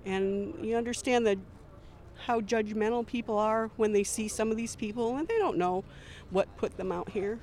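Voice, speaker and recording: A woman's voice: on the high side, normal speed, a little mellow. A middle-aged woman volunteer speaking in an earnest, reflective way in an interview. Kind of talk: interview testimony.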